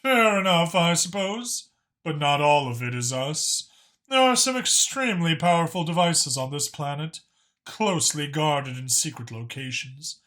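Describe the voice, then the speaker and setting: A man voicing a dignified male dragon in an audiobook, speaking in a measured, guarded and formal way. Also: a little high, lively intonation, a bit bright. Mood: explanatory. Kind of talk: audiobook character acting.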